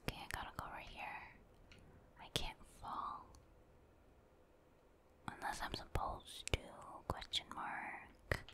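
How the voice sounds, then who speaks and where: A woman's voice: takes it slowly, long pauses. Whispering woman, ASMR game commentary. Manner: hushed, musing aloud, chatty asides to viewers. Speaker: gaming streamer.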